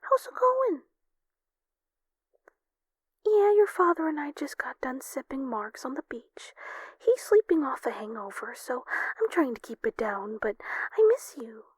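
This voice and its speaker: A woman's voice: high-pitched, very animated, unhurried, long pauses, husky, no echo, heavily acted. A woman playing a doting, chatty, slightly clingy mother on a phone call in an audio roleplay, gushing and coddling in a sing-song way. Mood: cheery, doting.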